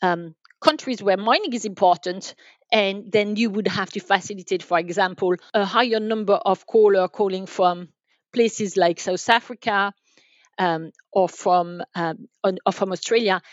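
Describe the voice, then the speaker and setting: A woman explaining things as an expert guest in a podcast interview, haltingly, often repeating and rephrasing her phrases. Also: medium pitch, no echo, muffled recording. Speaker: guest, enterprise sales manager.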